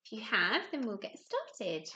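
A young woman, a primary teacher doing story time for small children with expressive, simple phrasing and questions. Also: mid-range voice, unhurried, a little hiss or hum. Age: young adult. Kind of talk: children's story time, talking to young pupils and reading aloud. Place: small room at home, indoors.

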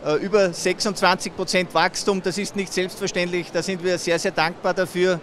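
A man, a company executive talking about growth in a grateful, measured way. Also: high-pitched, flat delivery, fairly bright tone, a little hiss or hum. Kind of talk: event interview.

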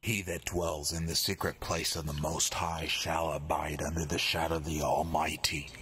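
A middle-aged man giving a slow, solemn scripture reading through a heavily processed metal-style vocal chain. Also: very deep voice, little pitch movement, fairly bright tone, breathy edge. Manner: slow, solemn, repeated lines. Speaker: music producer.

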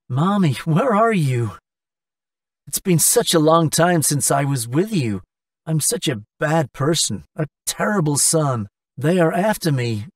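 Man playing a whiny cartoon son, swooping pitch. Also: no room sound, clean studio sound, far from the mic. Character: spoilt young gambler son, self-pitying and remorseful. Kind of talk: English-language cartoon acting.

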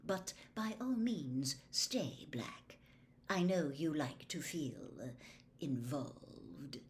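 A woman voicing a cold, sardonic male wizard schoolmaster in an audiobook, speaking softly and smoothly with waspish pauses. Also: fairly low pitch, sing-song, slight background noise. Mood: quietly waspish. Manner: slow, smooth, waspish, pausing before barbed words. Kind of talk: audiobook character voice.

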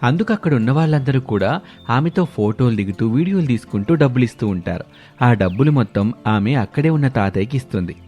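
A man's voice: medium pitch, animated, brisk, mellow, breathy edge. A man narrating a scripted moral story as a storyteller. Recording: background music under the voice.

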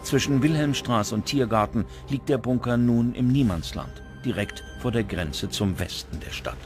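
A man's voice: medium pitch, moderate pace. Man narrating a documentary over music. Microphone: studio voice-over.

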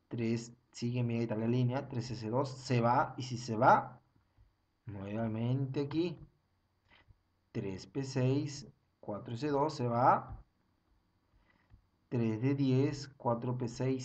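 A man's voice: mid-range voice, a little mellow. A young man, teaching an online chemistry class over slides and counting through each step again and again. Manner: repetitive, step-by-step counting, frequent "ojo" and "ya".

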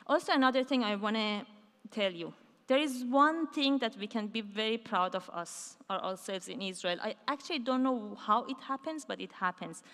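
A woman giving a conference keynote on a large dark stage, talking in a loose conversational way with fillers and restarts. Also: a little high. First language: not Hebrew. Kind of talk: conference talk. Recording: hall reverb from a large stage.

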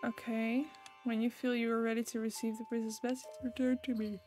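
A woman's voice: on the high side, flat delivery, brisk, a little mellow, soft background music. A young woman with a Dutch accent streaming a game, casually and sarcastically talking back to the characters. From Netherlands. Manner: sarcastic, chatty, jokes at the game. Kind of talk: casual gameplay commentary.

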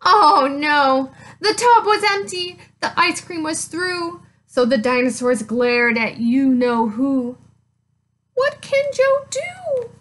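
A woman in her thirties reading a picture book aloud to children, with expressive, deliberate, emphatic phrasing. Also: high-pitched. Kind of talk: children's read-aloud storytelling.

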